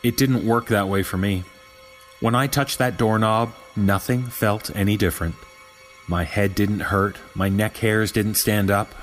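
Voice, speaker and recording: A man playing a young security guard who recounts an eerie discovery in a deliberate, suspenseful horror podcast narration. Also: fairly low pitch, lightly acted. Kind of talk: scripted horror story narration.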